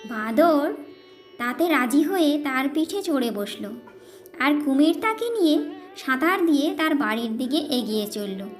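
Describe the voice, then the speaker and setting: A woman's voice: sits high, very animated, measured pace. A woman storyteller reading a scripted narration of a children's tale. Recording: music behind the voice.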